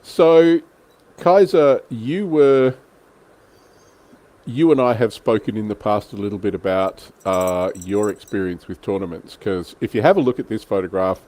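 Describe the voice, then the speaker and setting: A man with a fairly low voice hosts a casual livestream chat. He talks in a joking, self-deprecating way and swears a little. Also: a little slow, a little mellow. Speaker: livestream host.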